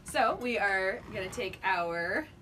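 A woman's voice: mid-range voice, animated, takes it slowly. A woman co-hosting a drink-making show in casual, playful chat, jokey and free with swearing. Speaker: co-host of a cocktail show. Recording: distant mic with background noise.

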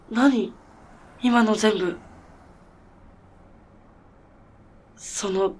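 A determined female mechanic , played by an actress, bewildered by what she faces. Her mid-pitched voice is casual and halting, with an incredulous edge, in the manner of radio drama dialogue. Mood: stunned.

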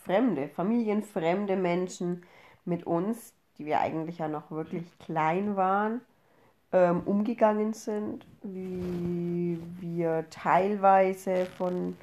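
A woman's voice: lowish voice, steady tone, slow pace, dark tone. A woman of 32 from Upper Franconia in Germany, talking casually as a podcast co-host. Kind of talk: casual conversational podcast talk.

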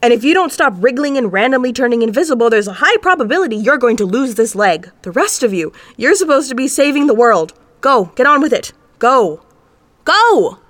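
A woman's voice: fairly high pitch, sing-song, brisk, a bit bright, no echo. A woman playing an exasperated, bossy team medic in a comedy audio drama, indignant and scolding. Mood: bossy, stern.

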